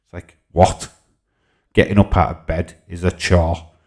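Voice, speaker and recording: A man's voice: sits low, flat delivery, unhurried, a little mellow. A man in his forties with a Yorkshire accent hosting a casual livestream, reading out comments and bantering informally as he replies. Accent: Yorkshire English. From Yorkshire, England. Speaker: live stream host.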